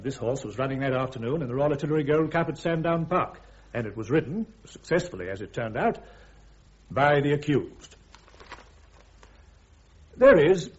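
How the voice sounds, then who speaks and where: Dull band-limited radio drama sound, man acting British army prosecutor, highish voice, formal, deliberate, precise courtroom delivery. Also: darkish tone, lightly acted.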